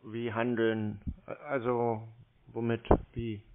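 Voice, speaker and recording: A man's voice: mid-range voice, flat delivery, slow. Needling man on a muffled line. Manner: needling, feigns ignorance. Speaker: prank-taker of scam calls. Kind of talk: casual phone conversation. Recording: muffled, noisy phone recording.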